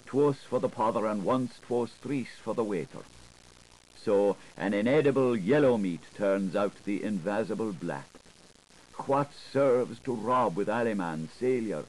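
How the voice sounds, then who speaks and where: A man narrating a literary audiobook in a theatrical, deliberate, incantatory manner, playful with the wordplay, on a 1980s recording. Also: on the low side, a little mellow, poor audio.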